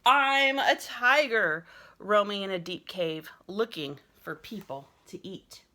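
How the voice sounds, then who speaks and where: A woman's voice: medium pitch, takes it slowly, pushed and bright. A woman voicing an imaginative, boisterous schoolboy in a children's storybook, dramatic and full of sound effects. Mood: playful, boisterous. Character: imaginative, boisterous schoolboy who loves noises. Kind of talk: children's storybook narration in character.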